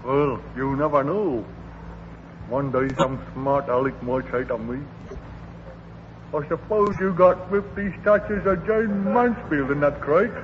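A man's voice: fairly high pitch, a little slow, highs cut off, lightly acted. A man playing a dim-witted, cheerful simpleton in a radio comedy, drawling and hesitant. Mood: dopey. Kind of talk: 1950s radio comedy acting. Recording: old radio broadcast, hiss, reverb.

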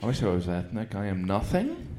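Stand-up man, self-mocking and dry, lively up-and-down delivery, booming club echo. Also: brisk, heavy background noise. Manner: self-deprecating, dry punchlines. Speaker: stand-up comedian. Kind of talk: stand-up set on stage. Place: comedy club stage. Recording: echoey club with loud crowd laughter. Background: audience laughing.

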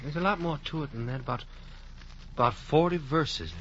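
An actor playing a young man softly reassuring his sick sweetheart, in a dull lo-fi recording. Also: fairly high pitch, normal speed, slight background noise, close to an everyday voice. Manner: gentle, reassuring, soft-spoken. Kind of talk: radio drama acting.

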